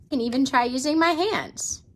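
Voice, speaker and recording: A woman's voice: high voice, moderate pace. A woman teacher giving a casual how-to lesson for children at home, explaining simply and often checking in with questions. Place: indoors at home.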